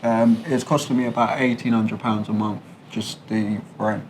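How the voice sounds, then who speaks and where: A man's voice: on the low side, flat delivery, normal speed, a little mellow, a little hiss or hum. A man with a London accent talking candidly in an interview, colloquial and explanatory. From London, England. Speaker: interviewee, British expat. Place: a condo apartment.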